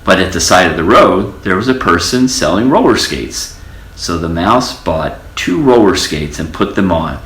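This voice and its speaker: A man's voice: low voice, sing-song, moderate pace, fairly bright tone, reverberant. An older man reading a children's story aloud, drawing out repeated words for effect. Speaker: storybook reader.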